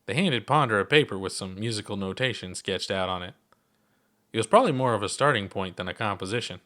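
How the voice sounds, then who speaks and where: A man reads a story aloud in a somewhat low voice. He narrates from a script as the author of the tale. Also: lively intonation, fast talker, a bit bright.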